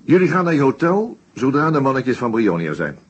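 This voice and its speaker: An actor playing an unflappable, wry police inspector in a radio play, husky and nonchalant. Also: male voice, mid-range voice, big pitch swings, a little fast. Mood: wry, relaxed. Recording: slightly dull radio drama recording.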